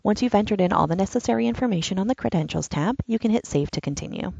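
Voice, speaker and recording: A woman's voice: medium pitch, expressive, fast talker, vocal fry, warm tone, slightly rough, no echo, muffled recording. A woman giving a patient, step-by-step walkthrough of an online application form. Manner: step-by-step instructional, plain and patient. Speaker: enrollment trainer. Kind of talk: screen-recorded software walkthrough.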